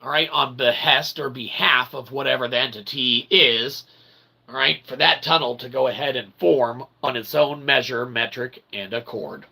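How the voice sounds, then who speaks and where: A man hosting a philosophy monologue, speaking in wordy pseudo-academic phrases with slangy asides and a gravelly, creaky voice. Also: mid-range voice, normal speed, pushed and bright, slight background noise, rough recording. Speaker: host of an independent philosophy show.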